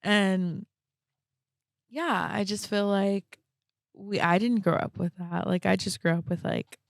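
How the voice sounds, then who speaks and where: A young woman talking reflectively and casually as a podcast guest, halting often and peppering her speech with "like". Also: medium pitch, fairly even intonation, vocal fry, no room sound, studio quality. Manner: halting, frequent "like" as verbal filler.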